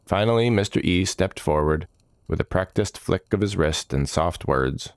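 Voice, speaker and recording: Very deep, gravelly male fantasy narrator. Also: animated, moderate pace, no echo. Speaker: storyteller, narrator. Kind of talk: scripted fantasy audiobook narration.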